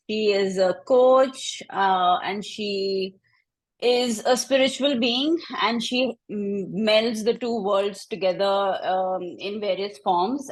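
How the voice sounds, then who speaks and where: This is a woman hosting a casual interview podcast. Her pitch sits in the middle for a woman. She phrases introductions and questions informally, in halting pieces. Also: poor audio.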